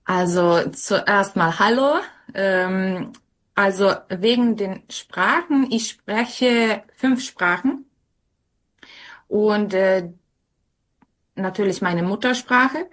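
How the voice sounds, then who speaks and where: Slow-speaking woman. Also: mid-range voice. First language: Serbian. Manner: hesitant, searching for words. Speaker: interview guest. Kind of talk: conversational interview answers.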